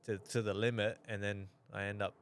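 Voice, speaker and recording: A man from New Zealand, in his thirties, chatting on a podcast in an easygoing, halting way with lots of restarts. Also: fairly low pitch, level tone, a little hiss or hum. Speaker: podcast co-host. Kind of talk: casual chat.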